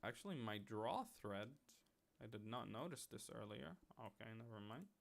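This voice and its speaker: A man's voice: fairly low pitch, lively intonation, moderate pace, gravelly. A man from Lebanon doing casual livestream commentary as he works, thinking aloud with playful little sound-words and muttered self-mockery. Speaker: streamer, game level developer.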